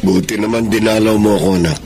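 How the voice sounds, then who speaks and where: A man's voice: on the low side, steady tone, quick pace, creaky, background noise. An actor playing a concerned, apologetic middle-aged jailed father in a radio drama, with a Filipino accent and an earnest, tender delivery. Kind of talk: Tagalog radio drama acting.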